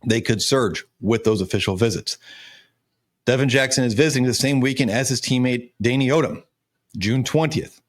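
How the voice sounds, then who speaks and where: A man's voice: mid-range voice, moderate pace. Male sports analyst, 30s, emphatic commentary delivery, voice strained and cracking from losing it. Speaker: sports show host and recruiting analyst. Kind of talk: college football recruiting commentary.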